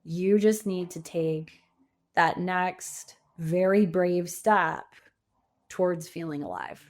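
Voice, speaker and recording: A woman's voice: lowish voice, takes it slowly. A middle-aged woman speaking as an interview guest, reflective and conversational. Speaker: podcast guest, coach and author.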